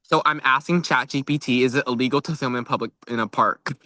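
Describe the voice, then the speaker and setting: A man's voice: mid-range voice, quick pace, pushed and bright, dry recording. A young man co-hosting a podcast, chatting informally and peppering his talk with "like.". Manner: chatty, informal, frequent 'like'. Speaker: podcast co-host. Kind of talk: casual podcast chat.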